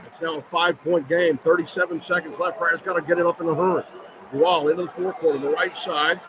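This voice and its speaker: A man's voice: fairly high pitch, steady tone, fast talker. A man calling live basketball on the radio in clipped, urgent phrases as play-by-play announcer. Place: courtside in a large indoor arena. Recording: loud crowd noise behind live radio broadcast. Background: loud arena crowd.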